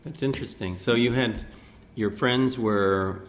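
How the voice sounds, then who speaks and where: A man's voice: medium pitch, measured pace. A man interviewing someone for an oral history, asking long, rambling questions full of restarts.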